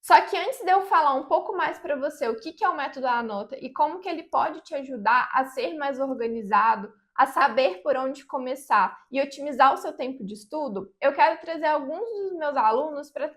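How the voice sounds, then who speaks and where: A woman with a high, light voice speaks straight to the viewer. She pitches her note-taking method in a persuasive, scripted delivery. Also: normal speed, few pauses, fairly bright tone. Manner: direct, persuasive, addresses the viewer. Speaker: study method creator and teacher. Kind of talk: scripted promotional talk to camera.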